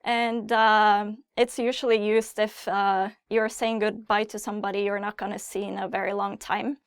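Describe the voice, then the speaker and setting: A woman with a Norwegian accent hosting a language lesson, clearly sounding out phrases and casually explaining them. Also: medium pitch, flat delivery, moderate pace, no room sound.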